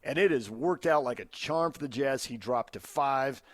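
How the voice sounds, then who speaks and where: A man's voice: medium pitch, normal speed, no echo. A man with an American accent hosting sports talk radio, giving opinions conversationally into a studio microphone. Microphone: close studio radio microphone.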